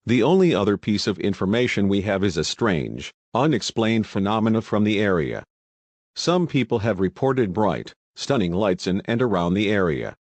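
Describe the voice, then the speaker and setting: A man acting as a news anchor, delivering a scripted broadcast in a measured, earnest way. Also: lowish voice, sing-song, darkish tone, no echo.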